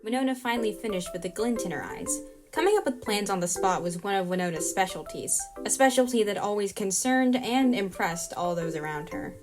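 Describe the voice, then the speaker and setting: A woman's voice: medium pitch, lively intonation, brisk, few pauses. Woman narrating a story over music. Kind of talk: scripted audio drama narration.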